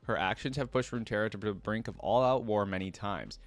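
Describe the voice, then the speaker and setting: A young man hosting a reaction channel, reading character lore aloud and thinking out loud between passages, with halting restarts. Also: lowish voice.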